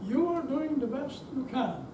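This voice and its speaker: A woman's voice: sits high, sing-song, a little slow. A woman readers theater performer giving a dramatic reading of reflective verse. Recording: distant echoey room, clear noise.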